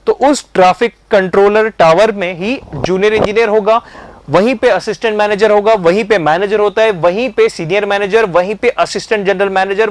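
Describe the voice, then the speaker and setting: An Indian man with a high voice teaches in a methodical, step-by-step way. He drops English terms into his explanations and often checks that the point has landed. The sound is dry and close, with very little room in it. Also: dry recording. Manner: mixes English terms into speech. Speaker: instructor explaining a career. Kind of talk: explanatory online lesson.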